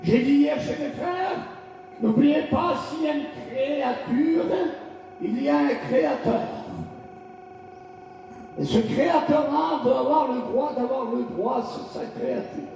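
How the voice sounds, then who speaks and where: A male pastor from France delivering a fiery revival sermon, shouting in bursts and calling for responses from the congregation. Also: extremely high pitch, a little slow, slight background noise. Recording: echoey hall, distant lo-fi mic.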